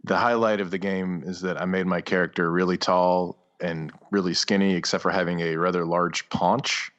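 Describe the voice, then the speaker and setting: A man's voice: low-pitched, little pitch movement, normal speed, a bit bright, muffled recording. A man co-hosting a podcast, chatting casually in a hesitant, offhand, dryly self-deprecating way. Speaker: podcast co-host. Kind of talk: casual chat.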